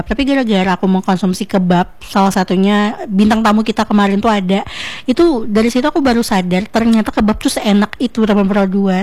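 A woman's voice: mid-range voice, darkish tone. A woman presenting casually as a radio host, talking directly to listeners in a conversational, questioning way.

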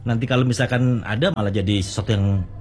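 A man's voice: lowish voice, fairly even intonation, fast, darkish tone, soft background music. Hesitant man hosting a chat. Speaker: host interviewing food business owners. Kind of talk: casual interview chat.

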